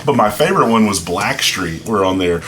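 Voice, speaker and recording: A man's voice: on the low side, animated, a little fast, a loud crowd, poor audio. A man co-hosting a comedy podcast, cracking jokes and breaking into bits of songs in loose casual chat. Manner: joking interjections, sings song snippets. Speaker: podcast co-host.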